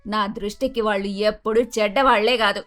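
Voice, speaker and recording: A woman playing an emphatic, emotional middle-aged mother-in-law in an animated moral story. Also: mid-range voice, brisk, natural voice. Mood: earnest, firm. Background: faint music.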